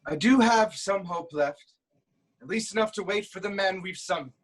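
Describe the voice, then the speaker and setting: A performer playing a proud, anguished king haunted by prophecy, in a grave, deliberate dramatic reading of Greek tragedy. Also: medium pitch, husky, close to an everyday voice. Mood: guarded hope.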